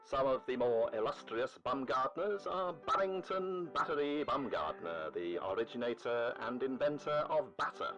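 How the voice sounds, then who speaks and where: A man's voice: on the low side, poor audio. A man playing a formal old-time newsreel announcer in a comedy parody, florid and mock-solemn. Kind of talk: vintage newsreel narration parody. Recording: music playing behind the voice.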